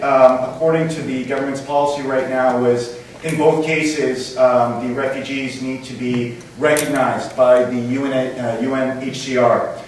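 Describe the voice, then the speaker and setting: A man giving a halting, earnest, unscripted question from the audience at a public meeting. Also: medium pitch. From Syria or Canada. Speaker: audience member asking a question. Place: civic centre meeting room. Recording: echoey hall from far off, faint noise.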